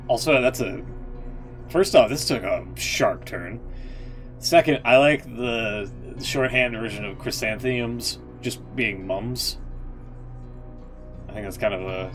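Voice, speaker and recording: A man's voice: on the low side, takes it slowly, long pauses, fairly bright tone. A man giving casual, slangy live-stream reaction commentary, with music behind him. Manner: informal, slangy.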